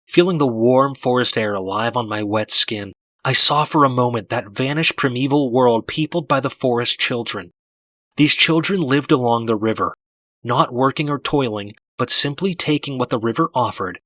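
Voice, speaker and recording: A man narrating a first-person story from a script in a measured, dramatic storytelling manner. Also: medium pitch, fairly bright tone, dry recording. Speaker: audiobook narrator.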